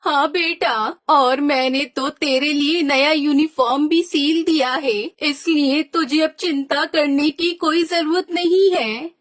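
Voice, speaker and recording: A woman's voice: high-pitched, fairly even intonation, slow, few pauses, pitched below the performer's own voice. A woman voicing a sad, loving mother bird in a children's cartoon, tender and subdued. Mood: loving, reassuring. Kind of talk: Hindi kids' cartoon voice acting.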